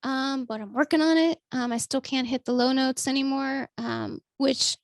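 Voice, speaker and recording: A woman vlogger with a naturally high voice that is still a little strained after illness, chatting casually to her viewers with lots of ums and calling them folks. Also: steady tone, normal speed, creaky, dry recording. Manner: chatty, many ums, calls listeners folks. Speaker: vlogger and writer. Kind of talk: casual talk to camera.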